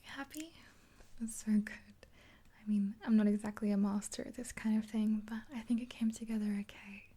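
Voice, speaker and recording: A woman in her twenties doing an ASMR roleplay in a gentle, unhurried, breathy whisper, reassuring and close to the mic. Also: mid-range voice, steady tone. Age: 20s. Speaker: ASMR performer playing a makeup helper. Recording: hissy close-up with clicks.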